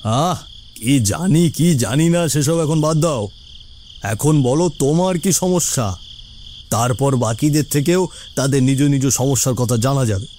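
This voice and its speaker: A man voicing a stern, self-righteous village headman in a cartoon, commanding and condescending, with drawn-out hums. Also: mid-range voice, mellow, slight background noise, lightly acted. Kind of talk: cartoon voice acting.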